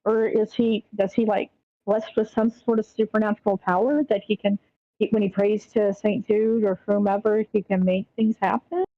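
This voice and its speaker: A woman thinking aloud in casual chat, giving feedback in a halting, tentative way. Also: medium pitch, little pitch movement, gravelly, no room sound, lo-fi sound. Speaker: participant giving script feedback.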